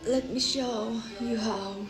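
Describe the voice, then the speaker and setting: Woman doing a slow, sweet-talking old woman. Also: on the high side, steady tone, over music, voice pushed up, low-quality recording. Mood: sweet, coaxing.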